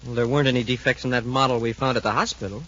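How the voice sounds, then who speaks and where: An actor playing an eager, inquisitive, respectful young sidekick in a 1950s space adventure radio serial. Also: male voice, medium pitch, steady tone, very fast, a bit bright, natural voice. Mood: amazed, puzzled. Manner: inquisitive, deferential, wide-eyed. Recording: dull, faintly noisy 1950s radio recording.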